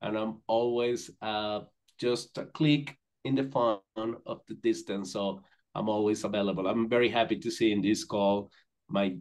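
A man speaks in a fairly low voice over a computer mic on a video call. His talk is friendly and loose, full of fillers and restarts as he chats in English. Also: darkish tone. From Venezuela. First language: Spanish. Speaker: company managing director, guest. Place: indoors with virtual backdrop.

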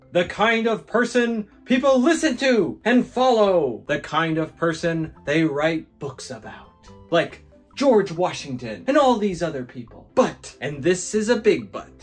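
A young man hosting a children's read-aloud, telling the story in an expressive, energetic, dramatic way. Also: fairly high pitch. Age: young adult. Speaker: host reading children's books. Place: small indoor room with shelves.

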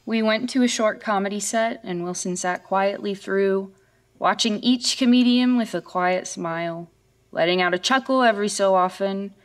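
A woman's voice: medium pitch, normal speed, close to an everyday voice. A woman playing a polite, uneasy young woman in a scripted horror audio drama, in both dialogue and narration. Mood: uneasy recounting.

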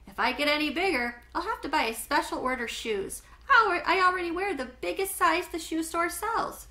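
A woman's voice: very high voice, moderate pace, pushed and bright, pitched above the performer's own voice. A woman reading a storybook as a big, friendly grown man who is reassuring, teasing and upbeat.

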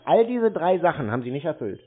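A man's voice: fairly high pitch, very animated, fast talker. A man arguing on the phone in a blunt, insistent, lecturing way. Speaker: scam-baiter taking a cold call. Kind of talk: confrontational phone conversation. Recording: thin, band-limited phone-line sound.

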